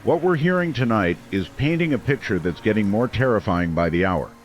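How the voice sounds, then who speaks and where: A man's voice: lowish voice, expressive, fairly quick, close to an everyday voice. A man playing a concerned late-night radio host in an audio drama about a small town. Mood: grave. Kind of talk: radio drama talk-show hosting. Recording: noisy, rain ambience behind.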